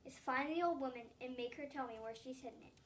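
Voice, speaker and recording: A little girl acts out a greedy, lonely storybook thief, urgent and demanding. The voice is high, and picked up from a little distance. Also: quick pace, a little hiss or hum, lightly acted. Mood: scheming. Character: impatient, greedy thief, a shriveled lonely man.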